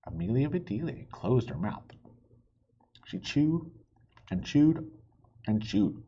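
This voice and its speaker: A man reading a children's story aloud in an expressive, theatrical way, with chatty asides to young listeners. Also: mid-range voice, slow pace, breathy edge, highs cut off. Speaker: children's book reader.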